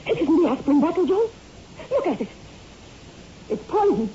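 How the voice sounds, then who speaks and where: An actress playing a frightened, accusing wife in a 1960s radio horror drama, with emphatic, melodramatic delivery. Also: female voice, sits high, sing-song, normal speed, mellow. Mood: suspicious. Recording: muffled, noisy old radio recording.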